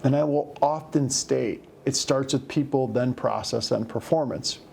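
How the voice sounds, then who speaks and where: A man leading a corporate interview, asking questions in a measured, encouraging way. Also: medium pitch, creaky, a little mellow, slight background noise. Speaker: company leader interviewing a colleague.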